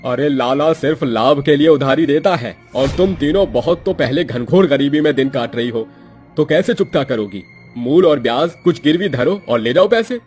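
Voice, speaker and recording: A man's voice: a little high, expressive, moderate pace, darkish tone, soft background music, lightly acted. Man playing a sly, greedy village moneylender. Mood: scornful. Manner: scornful, insinuating. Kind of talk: cartoon villain acting.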